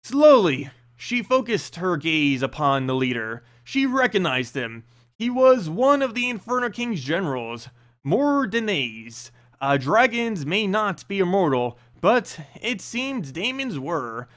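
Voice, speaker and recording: A man's voice: high-pitched, very animated, slow, a bit bright. A man reading a fantasy story aloud as a narrator, with a dramatic storytelling delivery.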